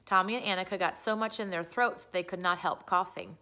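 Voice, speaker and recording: A woman's voice: fairly low pitch, steady tone, brisk, pushed and bright. A woman reading a children's book aloud as a storyteller, narrating the story.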